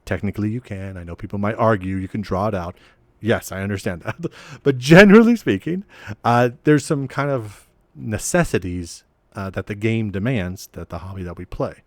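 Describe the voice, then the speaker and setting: Low-voiced man chatting on a podcast. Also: normal speed, no echo, clean studio sound. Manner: animated, self-deprecating, with frequent restarts and laughs. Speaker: podcast co-host and game master. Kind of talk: casual podcast chat.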